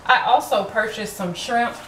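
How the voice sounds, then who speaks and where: A woman's voice: a little high, normal speed, noisy background. A woman from Florida chatting casually through a home cooking vlog, self-deprecating and full of fillers, recorded on a camera in her kitchen. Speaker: vlogger.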